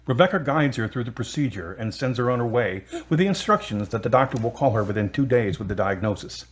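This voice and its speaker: A man's voice: mid-range voice, lively intonation, fast talker, husky. A man narrating a scripted story as the narrator of an audio drama.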